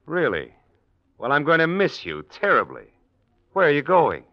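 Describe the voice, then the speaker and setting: An actor playing a suave, cynical playboy husband in an old-time radio drama, glib and dryly sarcastic. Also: male voice, a little high, huge pitch swings, normal speed. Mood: sardonic. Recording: dull, lo-fi old radio recording.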